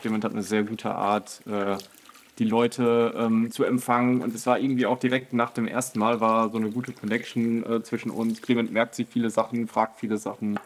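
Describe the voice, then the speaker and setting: A man with a low, flat voice, a barbershop customer who speaks hesitantly with many fillers. Faint noise sits behind him. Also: normal speed.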